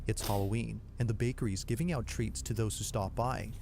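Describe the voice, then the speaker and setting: A man reading a scripted narration in an even, measured way. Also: on the low side, gravelly, a crowd in the background. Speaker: narrator of a video being reacted to. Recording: played back over a stream with music underneath.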